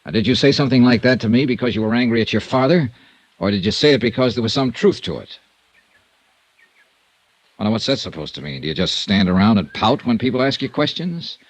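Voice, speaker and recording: A man's voice: medium pitch, lively intonation, brisk, no echo, lightly acted, rough recording. An actor playing a blunt, sardonic insurance investigator who narrates his own case in a 1950s radio noir drama. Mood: probing. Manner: dry, clipped, sardonic. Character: hard-boiled insurance investigator, wry and blunt. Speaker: narrator and lead detective.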